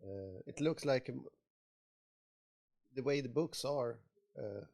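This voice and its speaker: Swedish male co-host, gravelly voice, hesitant and halting. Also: medium pitch, darkish tone. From Sweden. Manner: hesitant, many fillers and pauses. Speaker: podcast co-host. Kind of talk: casual chat.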